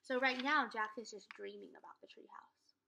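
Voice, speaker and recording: Bright young woman teacher reading aloud. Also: a little high, normal speed, gravelly, a little hiss or hum. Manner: animated storytelling, explaining words as she reads. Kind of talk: read-aloud lesson for children. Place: living room at home. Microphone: laptop webcam at close range.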